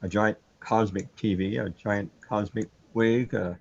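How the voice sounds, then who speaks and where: A man's voice: on the low side, steady tone, a little slow, creaky, darkish tone. An actor playing a troubled, self-doubting man trapped alone in a surreal universe, brooding and questioning in a sci-fi radio drama. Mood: bewildered. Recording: dull, lo-fi audio.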